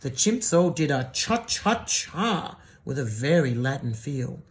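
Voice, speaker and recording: A woman reading a children's story expressively, in an animated way with gentle asides to a child. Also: a bass voice, a little slow. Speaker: storybook reader.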